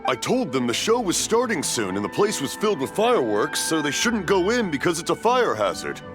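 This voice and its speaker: Old game dad, fretful n sorry, voice moves around a lot, music behind. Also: male voice, fairly high pitch, huge pitch swings, a little fast. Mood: worried. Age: older. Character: hard-of-hearing old father, fretful and apologetic. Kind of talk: English video game voice acting. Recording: music under the voice.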